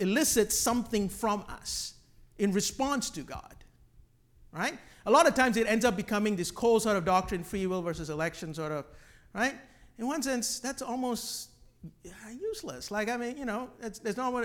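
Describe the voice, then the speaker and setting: A man from Malaysia teaching a class informally, halting and repeating words, often checking in with "right?". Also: high-pitched, fairly bright tone. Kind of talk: informal teaching with questions from the group.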